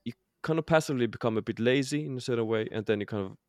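A man's voice: mid-range voice, racing, dry recording, clean studio sound. A man co-hosting a podcast in casual chat, hesitant and often restarting his sentences. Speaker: podcast co-host.

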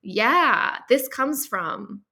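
A woman's voice: a little high, drawn-out words, vocal fry. A woman guest in a casual conversation, speaking earnestly and saying "like" often. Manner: earnest, frequent "like", animated.

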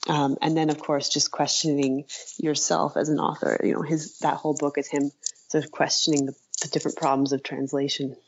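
A woman speaking as an interview guest, hesitant and halting as she thinks aloud in casual conversation. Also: low voice, little pitch movement, dull audio, slight background noise. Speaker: interview guest, writer and author.

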